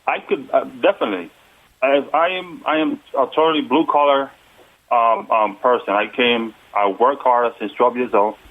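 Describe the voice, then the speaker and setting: A man with a Puerto Rican accent, calling in as a political candidate. He talks earnestly but haltingly, pausing on fillers. The sound is thin and phone-like, at a mid pitch. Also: steady tone. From United States. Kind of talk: phone-in interview. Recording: tinny phone line.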